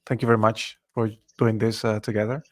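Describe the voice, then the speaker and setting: A man's voice: lowish voice, little pitch movement, brisk. A man from Ecuador co-hosting a live online webinar, talking in an easygoing way and greeting attendees by name over a computer mic. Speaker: webinar co-host. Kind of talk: live webinar, casual chat.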